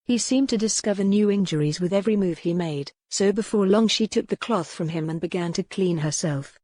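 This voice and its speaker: A woman's voice: mid-range voice, brisk, mellow, dry recording. A woman reading a historical romance novel as scripted audiobook narration.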